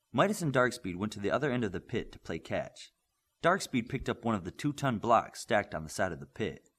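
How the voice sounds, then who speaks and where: A man's voice: lowish voice, a bit bright. A man reading a scripted superhero novel as an audiobook narrator.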